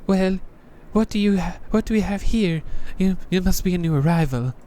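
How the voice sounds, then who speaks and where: Casting: male performer as jaded woman knight in a game, high-pitched, sardonic, taunting, contemptuous. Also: moderate pace, dark tone, lightly acted. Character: contemptuous, mocking, jaded female knight by a bonfire. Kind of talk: visual novel character reading.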